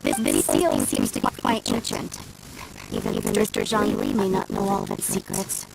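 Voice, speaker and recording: A woman's voice: mid-range voice, huge pitch swings, normal speed, gravelly, full character voice, low-quality recording. A woman playing an earnest, easily exasperated female researcher in a video game, explaining things expressively. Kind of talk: video game character voice acting. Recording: game capture with loud music behind.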